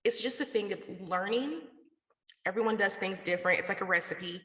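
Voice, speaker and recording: A woman's voice: medium pitch, fairly quick, a bit bright. An American woman from the South talking casually and slangily as a guest in a radio interview. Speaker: interview guest, podcast network founder. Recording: echoey, reverberant room.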